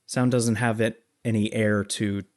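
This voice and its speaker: Guy talking movies on a podcast, lowish voice, no room sound, sure of his opinions. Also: little pitch movement, unhurried, a little mellow, no room sound, studio quality. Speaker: film review podcast host. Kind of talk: casual movie discussion.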